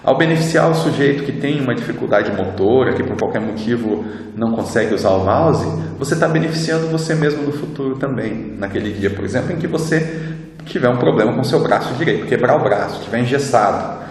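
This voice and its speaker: A man's voice: mid-range voice, animated, brisk. A man with a Brazilian accent gives an informal explanatory lecture as an instructor, in a conversational way with frequent tag questions. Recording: very echoey reverberant room, background noise.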